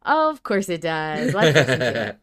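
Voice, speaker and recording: A woman's voice: deep voice, wildly swooping pitch, moderate pace. A woman co-hosting a podcast in casual discussion, talking through her point conversationally with hedges. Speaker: podcast co-host.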